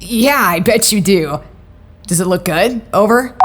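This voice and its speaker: A woman playing an exasperated, no-nonsense supervisor in an audio drama, speaking in a dry, businesslike, sometimes halting way. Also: medium pitch, lively intonation, very noisy, natural voice. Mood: brisk. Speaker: voice actor in a fiction podcast.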